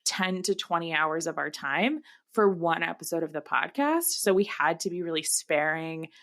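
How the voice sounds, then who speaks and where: A woman co-hosting a podcast in casual conversation, pausing often mid-sentence. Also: sits low, lively intonation, a bit bright. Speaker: podcast co-host.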